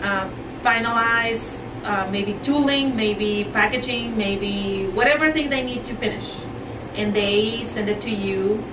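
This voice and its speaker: A woman in her thirties with a Mexican Spanish accent, chatting as an interview guest. Her voice is fairly high, and she laughs often and sometimes searches for words. She is recorded from a distance in an echoey, noisy room. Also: a little slow. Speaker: guest, entrepreneur and mother. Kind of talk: casual interview talk. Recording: echoey room, mic at a distance, background noise.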